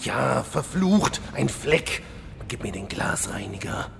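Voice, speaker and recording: A man's voice: mid-range voice, big pitch swings, slow pace, gravelly, fairly bright tone, in a large hall, slight background noise, heavily acted. A man playing a vain, insecure, fussy demon lord in a fantasy parody audio drama, ranting in theatrical exasperation. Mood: fussy, commanding.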